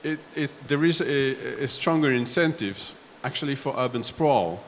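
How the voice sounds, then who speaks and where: A man from Belgium on a discussion panel, laying out his points one step at a time in a halting way. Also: medium pitch, lively intonation. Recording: echoey hall, faint background noise.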